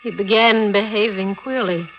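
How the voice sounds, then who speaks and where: An actress playing a strained, weary young wife in a 1940s radio drama. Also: female voice, mid-range voice, moderate pace, natural voice. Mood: strained, anxious. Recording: dull old radio recording, faint music.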